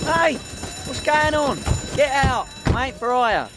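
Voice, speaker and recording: A man's voice: extremely high pitch, expressive, slow, background noise, heavily acted. A man acting a cheeky, rambling small-time crook and cab driver with a London accent in a comedy radio play. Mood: flustered, babbling.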